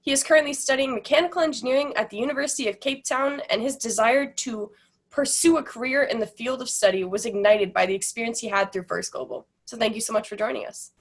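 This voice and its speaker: A woman's voice: fairly high pitch, fast talker. A woman moderating an online panel over a video call, reading the guest introductions in an upbeat way.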